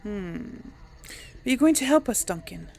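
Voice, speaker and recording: A woman role-playing a young noblewoman daughter in a fantasy game, hesitant but defiant, with sighs and pauses. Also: mid-range voice, very animated, vocal fry, darkish tone, slight background noise. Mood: worried. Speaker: gamer role-playing for an audience. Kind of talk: amateur role-play of game dialogue.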